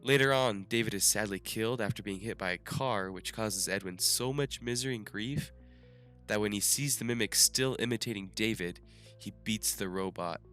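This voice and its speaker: A young man with a congested, nasal voice reads his game theory from a script, stumbling now and then. His tone sits fairly low yet sounds bright and sharp. Soft music plays faintly underneath. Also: moderate pace. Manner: reading from a script with small restarts. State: stuffy nose after the flu. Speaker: gaming YouTuber.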